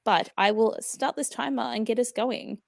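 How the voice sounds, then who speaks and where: A woman's voice: fairly high pitch, moderate pace, vocal fry, slight background noise. A woman co-hosting a reading livestream, upbeat and giggly as she chats and sets a sprint timer. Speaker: readathon co-host. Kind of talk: casual livestream chat.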